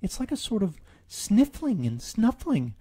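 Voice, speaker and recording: A small, frightened storybook piglet, put on by a male narrator, speaks in a raised, breathy voice. The delivery is anxious and hesitant, eager to please. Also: sits high, huge pitch swings, a little slow, mellow, pitched above the performer's own voice, full character voice. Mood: nervous, pleading. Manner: nervous, hesitant, stammering.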